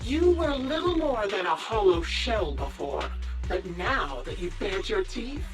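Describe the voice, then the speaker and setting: A woman's voice: mid-range voice, very animated, a little slow, distant mic, soft background music. A woman voicing a sadistic sniper villain in a video game, mock-polite, teasing and theatrical. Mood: cruel amusement.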